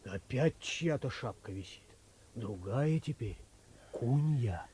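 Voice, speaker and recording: An actor playing a wry, hungry petty clerk in a 1950s Russian radio play, teasing and expressive. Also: male voice, medium pitch, takes it slowly, a little hiss or hum. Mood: dismayed.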